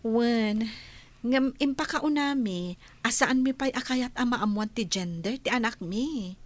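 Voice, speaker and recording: A woman's voice: on the high side, unhurried, natural voice. A woman playing an anxious pregnant young wife in an audio drama. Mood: weary, expectant. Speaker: voice actor. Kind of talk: dramatised audio story acting.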